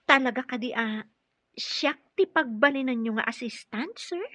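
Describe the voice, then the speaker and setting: High, bright-voiced deferential young nurse. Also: female voice, moderate pace, no room sound. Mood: surprised, grateful. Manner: respectful, addressing superiors as sir. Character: young female nurse caregiver, polite and deferential to her employer. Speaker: radio drama actor. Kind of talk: Ilocano radio drama acting.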